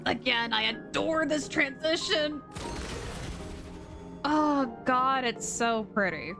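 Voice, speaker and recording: A woman's voice: high-pitched, lively intonation, slow, over music. A woman streamer chatting expressively as she plays and reads the dialogue aloud. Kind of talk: casual game commentary and reading dialogue aloud.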